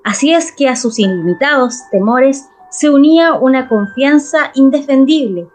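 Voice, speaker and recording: A woman's voice: sits high, animated, normal speed. A woman narrating a literary story in a dramatized radio reading. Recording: music underneath.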